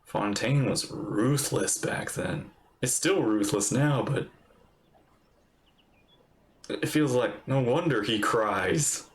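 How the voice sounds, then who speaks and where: A woman's voice: extremely low pitch, slow, long pauses, slight background noise. A woman streaming a game and reacting casually to its story as it plays. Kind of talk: casual live reaction commentary. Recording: streamer voice over game music.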